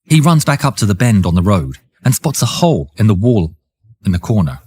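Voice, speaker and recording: Husky, raspy male storyteller, dramatic delivery. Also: on the low side, very animated, fairly quick, dark tone, no echo. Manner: theatrical, suspenseful storytelling. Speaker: narrator of war history stories. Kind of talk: dramatic scripted narration.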